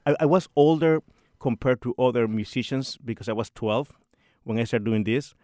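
A man's voice: mid-range voice, normal speed, warm tone, no echo, clean studio sound. A middle-aged man from Rico, a composer and church organist talking in a radio interview, hesitant and full of restarts and repeated words. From Puerto Rico.

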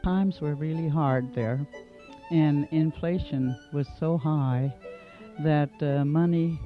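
An older man from Germany, a native German speaker, recalling his past in an oral history interview, unhurried and full of fillers. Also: on the high side. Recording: dull lo-fi recording with music underneath.

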